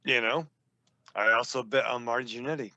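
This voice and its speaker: A man with a New England accent trades crude jokes as a podcast co-host. His voice is gravelly yet bright. The sound is dry and close. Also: mid-range voice, fairly even intonation, brisk, no room sound, dull audio. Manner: rambling, joking, swears a lot. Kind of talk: casual, profane banter.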